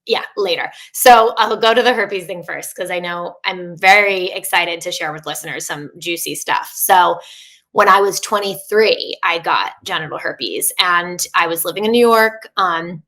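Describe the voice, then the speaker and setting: Bright-voiced woman in her thirties, chatty. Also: on the low side, normal speed, poor audio. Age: 30s. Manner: chatty and open, many "like" fillers and restarts. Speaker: podcast guest, coach. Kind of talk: candid casual interview.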